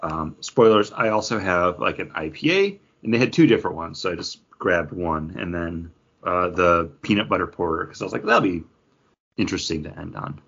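A low-voiced man with a husky edge talks casually as a podcast co-host. He rambles along in a conversational way. The recording is dull and lo-fi. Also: expressive, normal speed, a bit bright. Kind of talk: casual chat about comics and beer.

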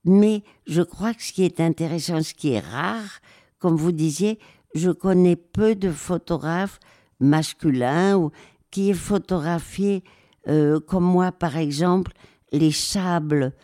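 This is an older woman speaking at an unhurried, deliberate pace, her voice mellow and dark. She pauses often and fills the gaps with hesitations as she recounts her experiences in a reflective interview. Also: deep voice. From raised in Spain. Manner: slow, hesitant, many pauses and fillers. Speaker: interview guest, war photographer.

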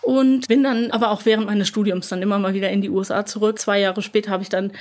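Interview guest: young woman, relaxed and chatty, full of fillers and quick laughs. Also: mid-range voice. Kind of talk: casual conversational interview.

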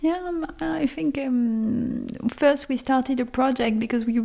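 A woman's voice: high voice, a little slow, vocal fry, dark tone. A woman with a French accent, a native French speaker, answering interview questions conversationally and discursively, searching for words in English. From France, born in Lebanon. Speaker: brand co-founder, interview guest.

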